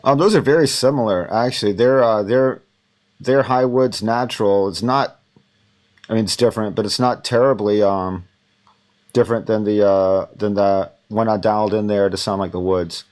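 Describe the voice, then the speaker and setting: A man teaching audio mixing, thinking aloud in a relaxed, rambling way with many fillers. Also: fairly low pitch, normal speed. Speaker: audio instructor.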